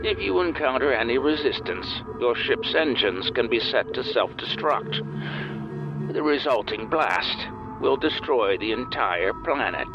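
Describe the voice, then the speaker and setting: An actor playing a condescending, menacing alien flight control officer over a radio link in a comic sci-fi audio drama. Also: male voice, medium pitch, lively intonation, a little slow, gravelly. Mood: stern. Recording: muffled radio transmission over music.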